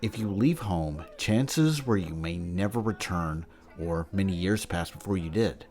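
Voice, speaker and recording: Male actor as patient scholar type, deep register, measured teaching delivery, audio drama. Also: lively intonation, a little mellow. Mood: patient, instructive. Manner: didactic, measured explaining. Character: learned man patiently explaining old myths and customs.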